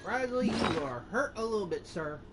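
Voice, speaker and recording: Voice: mid-range voice, animated, fairly quick. A gamer giving casual gameplay commentary, muttering informal reactions while playing. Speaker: gamer, channel host. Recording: loud game music over the voice. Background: loud game music.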